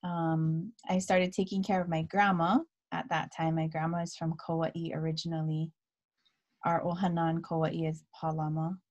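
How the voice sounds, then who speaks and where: A woman in her thirties from Hawaii, telling her story as a guest speaker on a video call. She talks in a relaxed way with many fillers and drops Hawaiian words into her English. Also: low voice, normal speed, lo-fi sound. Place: dim room at home. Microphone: laptop webcam video call.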